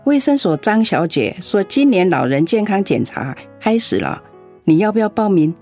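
A woman's voice: medium pitch, very animated, moderate pace, darkish tone, soft background music. An actress playing a chatty, frank and caring elderly neighbour woman in a radio drama. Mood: concerned.

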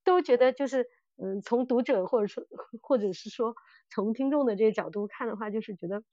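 A woman's voice: a little high, a little fast, dark tone, no echo, muffled recording. A woman co-hosting a podcast in a casual discussion, circling back and repeating phrases as she works out her point. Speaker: podcast co-host. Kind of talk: casual discussion of audio dramas.

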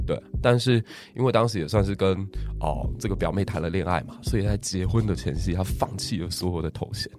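A man, a guest history teacher, telling stories in lively casual podcast chat and laughing often. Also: low voice, brisk, hardly any pauses, over music. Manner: lively storytelling with frequent laughs.

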